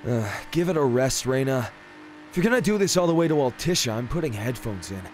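A man's voice: mid-range voice, expressive, a little fast, soft background music, natural voice. A man playing a sullen young prince in a fan audio drama, offhand and sarcastic. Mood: sullen, irritated.

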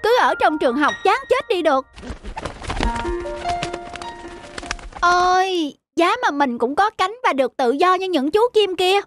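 A performer voicing a lazy, playful young schoolboy with an exclamatory, dreamy and whiny manner in an animated fairy tale. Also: very high voice, normal speed, music in the background. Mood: bored, wistful.

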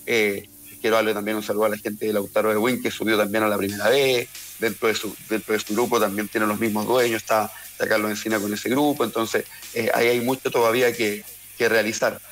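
A man's voice: on the low side, little pitch movement, a little fast, a bit bright. A man in his forties with a Chilean accent, a football coach answering earnestly on a video call through earphones, often restarting his words. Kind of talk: remote interview answers. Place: bright room at home. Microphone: earphone headset on a video call.

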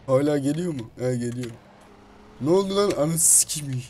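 A man giving excitable, slangy, foul-mouthed gameplay commentary as he chats in a roleplay game. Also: a little high, normal speed. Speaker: video host playing a roleplay game. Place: indoors at a computer, voice over gameplay. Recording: clear background noise, keyboard clicking.

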